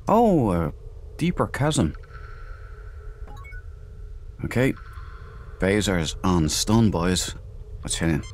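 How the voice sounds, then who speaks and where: Very deep-voiced male gamer. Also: very animated, slow, slight background noise. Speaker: gamer, let's play host. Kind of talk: casual gameplay commentary. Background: faint game music.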